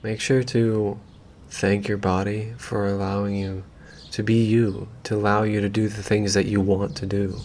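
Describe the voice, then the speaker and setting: A man leading a guided meditation in a gentle, unhurried, soothing way, leaving long pauses between phrases. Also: lowish voice, little pitch movement, a little hiss or hum. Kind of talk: calm guided meditation, slow and soothing instruction.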